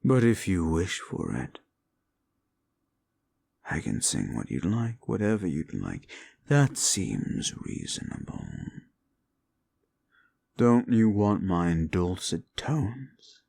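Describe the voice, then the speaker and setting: A man playing a haughty, seductive fallen-angel demon in an intimate audio roleplay, with a gravelly voice and archly formal, taunting delivery. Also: sing-song, slow pace, long pauses, darkish tone, full character voice. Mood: teasing, coaxing. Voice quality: gravelly, creaky low voice. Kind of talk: intimate second-person audio roleplay acting.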